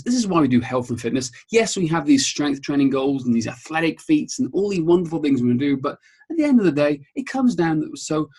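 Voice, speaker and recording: A man's voice: fairly high pitch, a little mellow, husky. A Welsh man whose first language is Welsh, a strength coach giving an upbeat webinar presentation and often checking in with "okay?". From Wales.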